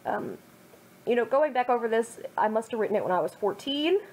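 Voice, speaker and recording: A woman's voice: on the high side, sing-song, brisk, a little mellow, poor audio. A young woman reading her own fan fiction aloud, then adding wry, self-mocking commentary.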